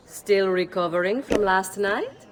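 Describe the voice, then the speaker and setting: A woman's voice: medium pitch, measured pace, noisy background, lightly acted. An actress playing a shrewd, poised middle-aged noblewoman and mother with an Italian accent in a video game. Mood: knowing, composed. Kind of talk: video game cutscene acting.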